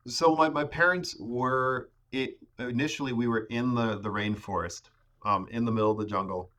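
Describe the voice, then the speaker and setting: A man in his thirties, a podcast guest, talking casually about growing up in the rainforest, with many pauses and restarts. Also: mid-range voice, steady tone, fairly bright tone. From Ecuador. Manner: hesitant, many fillers and restarts. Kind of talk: casual conversation.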